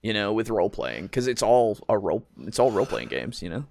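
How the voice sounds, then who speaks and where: A man hosting a casual podcast chat about tabletop roleplaying, explaining things in a rambling, halting way with some swearing. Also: on the low side, sing-song, gravelly, a little mellow, no room sound. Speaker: podcast host.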